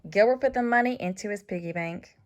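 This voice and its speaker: A woman reading a children's story aloud as scripted narration, with expressive, deliberate phrasing for young listeners. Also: medium pitch. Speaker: storybook reader.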